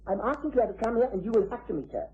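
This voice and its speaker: Agitated young British gentleman, rough breathy tone, polite, urgent, old radio drama, dull sound. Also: high voice, lively intonation, very fast, exaggerated voice. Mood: pleading, defiant. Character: earnest, agitated young upper-class nephew. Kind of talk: 1950s-60s British radio drama acting. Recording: muffled vintage radio drama recording.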